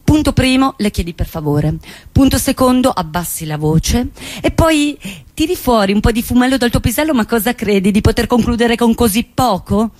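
A woman's voice: on the high side, animated, moderate pace, darkish tone, no room sound, lightly acted. An actress playing a wry woman who recounts her lovesick teenage years in a dramatic, sardonic theatre monologue. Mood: sardonic, scornful. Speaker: stage actress.

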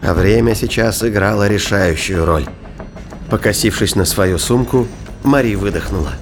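A man's voice: fairly low pitch, moderate pace. A man reading scripted narration for a science-fiction thriller audio drama. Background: music.

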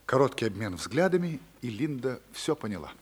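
A man's voice: mid-range voice, sing-song, fairly quick. A man reading scripted narration for a radio play. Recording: clearly noisy recording.

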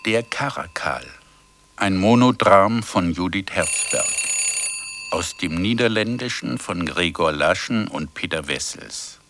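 Low voiced guy reading radio play credits, real slow n formal, noisy recording. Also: expressive. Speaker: radio drama announcer. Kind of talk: formal scripted credits announcement.

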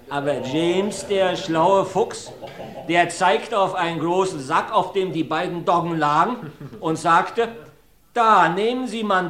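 A man's voice: fairly high pitch, a bit bright. An actor playing a talkative rustic farmer who rambles through his testimony in a radio play. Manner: folksy, rambling storyteller. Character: rustic male farmer, chatty court witness. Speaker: witness in a courtroom. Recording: roomy studio.

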